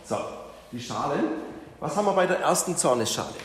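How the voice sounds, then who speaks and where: A man's voice: on the high side, very animated, brisk. Man teaching in an echoey, noisy hall. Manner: informal, questions the group and repeats their answers. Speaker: Bible study leader. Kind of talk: interactive teaching to a group. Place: reverberant room with a group present. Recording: echoey hall with background noise.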